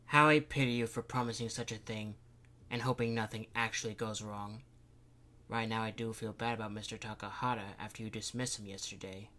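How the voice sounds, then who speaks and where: A man's voice: fairly low pitch, fairly even intonation, a little fast, bright, forceful tone, slight background noise. A man voicing a middle-aged councilman who feels lightheaded and weary.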